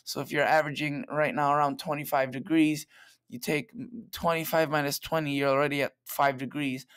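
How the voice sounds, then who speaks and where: Man, slightly raspy, laid-back weather vlogger walking through forecast maps. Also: on the high side, level tone, fairly quick, a bit bright. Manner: chatty, loose, talks through model maps. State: slightly raspy late at night. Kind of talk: casual weather forecast vlog. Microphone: plug-in microphone.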